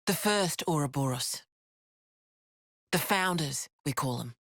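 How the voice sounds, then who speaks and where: An actress playing a frank, steady female resistance leader in a video game, with plain-spoken, measured storytelling. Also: low-pitched, no echo, studio quality, full character voice. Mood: solemn explaining. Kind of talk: video game cutscene acting. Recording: music behind the voice.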